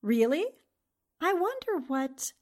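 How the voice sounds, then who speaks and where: Woman acting a high, swooping, unhurried lady reporter. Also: big pitch swings, noisy background, full character voice. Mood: curious. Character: poised, skeptical female newspaper reporter. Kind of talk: 1940s radio drama acting.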